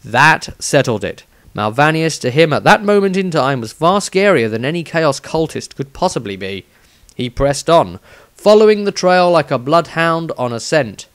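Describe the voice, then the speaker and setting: A man reading his own fantasy story aloud in a deliberate, dramatic storytelling manner. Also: fairly high pitch, very animated, no echo. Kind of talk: scripted fantasy audiobook narration.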